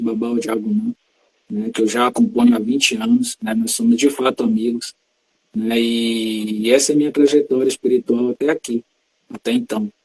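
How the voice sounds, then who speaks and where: Man explaining in a dark, husky voice. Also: medium pitch, poor audio. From Salvador, Bahia, Brazil. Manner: measured, teacherly, explains terms patiently. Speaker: religious practitioner guest. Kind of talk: explanatory interview talk.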